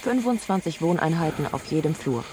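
An actress playing a chorus narrator in a radio drama, reciting in a clipped, list-like way. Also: female voice, deep voice, expressive, brisk. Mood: detached. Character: female chorus narrator reciting a businessman's disoriented thoughts. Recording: very noisy background ambience. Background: loud ambient noise.

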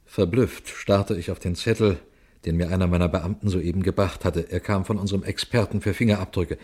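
An actor playing a sober, watchful police detective, telling his case in a measured, formal radio crime drama style. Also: sits low, fairly even intonation, darkish tone, husky. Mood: tense, observant. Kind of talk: radio crime drama, suspenseful first-person narration and dialogue.

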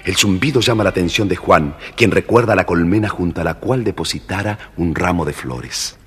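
A man's voice: low voice, normal speed. A man narrating a scripted children's fairy tale as the storyteller. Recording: reverberant, noisy old story record.

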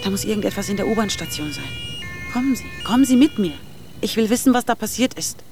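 An actress playing a reluctant, frank woman with a creaky voice and short patience, in a naturalistic radio drama. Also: medium pitch, lively intonation, fast talker, music in the background, lightly acted. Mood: impatient, distracted.

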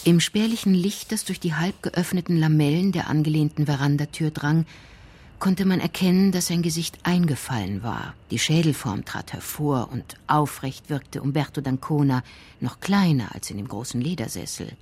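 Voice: mid-range voice, animated, normal speed, a little mellow, breathy edge. A narrator reading scripted literary prose for a radio crime drama in a composed, descriptive manner.

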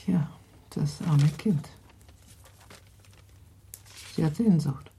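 A woman playing a middle-aged, reproachful mother and wife in a German radio play, husky, creaky and pointed. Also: deep voice, very slow, dark tone, slight background noise, exaggerated voice. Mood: pitying. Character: older mother, reproachful wife worried for her daughter.

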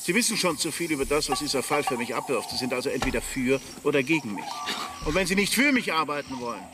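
An actor playing a coolly authoritative, threatening police inspector in a radio drama. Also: male voice, medium pitch, fast, music in the background, lightly acted. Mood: coldly threatening. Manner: commanding, calmly threatening. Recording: noisy vehicle and outdoor sounds behind.

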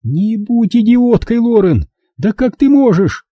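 A man's voice: high-pitched, sing-song, unhurried, husky, dry recording, dull audio, voice pushed up, full character voice. A man voicing a middle-aged mother in an audiobook, bitter, pleading and insistent, pressing her points with emphatic reproach. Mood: agitated, reproachful. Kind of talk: audiobook character dialogue.

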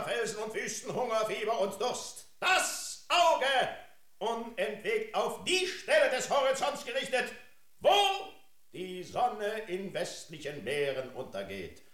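Pompous mayor orating in radio drama: very high, bright voice, bombastic delivery. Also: male voice, heavily acted. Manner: bombastic, theatrical, dramatic drawn-out words. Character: pompous mayor delivering a grandiose banquet eulogy. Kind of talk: declamatory German radio drama oratory. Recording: echoey hall.

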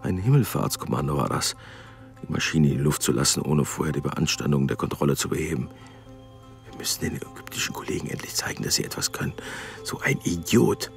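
Casting: grumbling male engineer, radio play, creaky voice with very varied melody, contemptuous and formal. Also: very deep voice, expressive, a little fast, faint music behind, heavily acted. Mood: sullen, muttering. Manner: sullen, contemptuous, formal when addressing others. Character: impatient, grumbling German aircraft engineer in 1960s Cairo. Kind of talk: naturalistic radio drama acting with muttered inner monologue.

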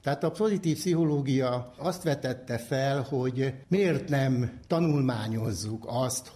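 Mellow older man explaining. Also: mid-range voice. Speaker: psychology professor, interviewed expert. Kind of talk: explanatory expert talk.

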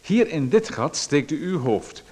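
An actor playing a pushy male salesman, lecturing smoothly and persuasively about a dubious hair machine. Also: a little high, big pitch swings, a little slow, darkish tone, full character voice. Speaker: radio drama actor. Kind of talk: radio play acting.

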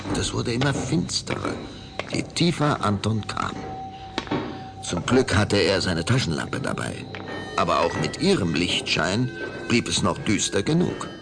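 A man narrating a children's audio drama in a measured, suspenseful storytelling style. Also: low voice, sing-song. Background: clear background music.

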